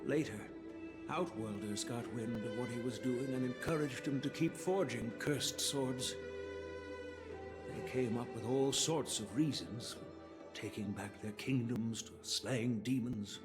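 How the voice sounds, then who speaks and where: A man playing a grave, regretful old master swordsmith and grandfather in a game, speaking in a measured, formal way. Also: medium pitch, close to an everyday voice. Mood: solemn. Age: older. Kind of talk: scripted game dialogue, solemn storytelling. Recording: background music under the voice.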